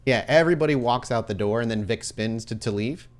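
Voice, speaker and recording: A man narrating a tabletop horror roleplay as game master, with dramatic, deliberate scene-setting. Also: medium pitch.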